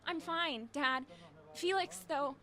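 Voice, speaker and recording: A woman's voice: high voice, slow, pushed and bright, heavily acted. A young woman playing a brave, protective teenage girl in an audio drama, urgent, earnest and defiant. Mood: urgent, pleading. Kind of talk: scripted audio drama acting.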